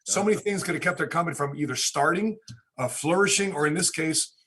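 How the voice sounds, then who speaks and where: A man with an American accent hosts in a casual podcast style. His voice sits a little high and has a husky, rough edge, and he often repeats a phrase to stress it. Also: fast talker, sharp tone. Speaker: podcast host.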